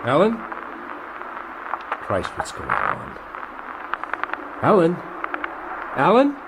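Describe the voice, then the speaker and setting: An uneasy, concerned man talks to a friend in a radio drama. He is urgent and questioning, with an animated, slightly high voice over a noisy recording. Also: very slow, vocal fry, heavily acted. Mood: alarmed.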